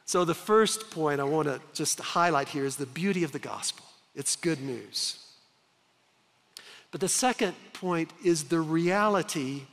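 A man in his fifties preaches from a large church stage on a headset mic, his voice sitting a little high for a man. He is earnest and emphatic, slowing down for dramatic pauses, and a long hall reverb trails behind each phrase. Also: a bit bright. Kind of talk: sermon.

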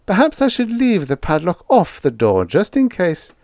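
A man voicing a fussy, nosy older landlady who speaks in a high, prim, prying voice. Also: huge pitch swings, normal speed, darkish tone, no room sound, studio quality, full character voice.

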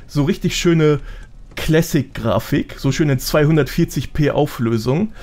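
A man's voice: fairly high pitch, normal speed, a little mellow. A man talking casually as a podcast guest, explaining things in a chatty, self-deprecating way.

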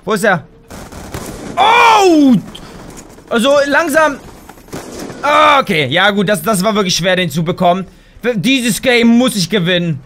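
A young man commentating his own gameplay on a headset mic, excitable and quick to shout, a little hoarse and tired. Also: sits high, a little hiss or hum. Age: young adult. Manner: excitable, exclaims and shouts at the game. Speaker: gaming YouTuber. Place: home gaming room, indoors.

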